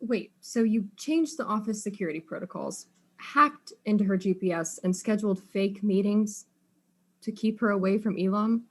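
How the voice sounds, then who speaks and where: A woman's voice: mid-range voice, normal speed, close to an everyday voice. A woman playing a polite, inquisitive detective in a mystery drama, questioning people in an earnest and slightly hesitant way. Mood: probing.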